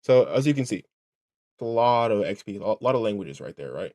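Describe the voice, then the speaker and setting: A man's voice: on the low side, big pitch swings, fairly quick, warm tone. Rambling, slangy man reacting to a video. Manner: rambling, restarts, keeps asking "right?" and "you know what I'm saying?"; swears casually, slangy. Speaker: reaction streamer. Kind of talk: casual rambling reaction commentary.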